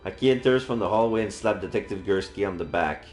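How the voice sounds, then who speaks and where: A man streaming a read-aloud of a visual novel, narrating in an expressive storytelling style. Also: fairly low pitch, fast. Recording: roomy sound, faint background music.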